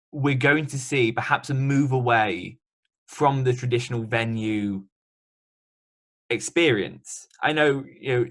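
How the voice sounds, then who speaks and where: A man's voice: mid-range voice, a bit bright. A man interviewing a guest in a remote chat, talking chattily and loosely with many ums and likes.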